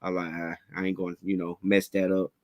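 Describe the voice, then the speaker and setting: A man hosting a casual livestream interview, talking in relaxed slang, a little raspy from a head cold. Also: low voice, a little fast. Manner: laid-back slangy hype, fillers like "you know what I'm saying".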